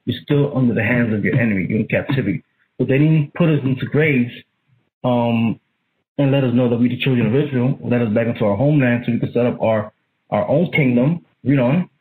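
A man's voice: medium pitch, fairly quick, narrow, tinny audio, a little hiss or hum. A man teaching a Bible study in an emphatic, preacherly way.

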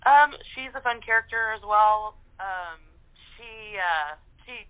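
A woman chatting informally and haltingly as an interview guest. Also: medium pitch, expressive. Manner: informal, halting, peppered with "you know". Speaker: guest voice actor in radio plays. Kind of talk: casual interview chat. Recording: phone-like, band-limited line.

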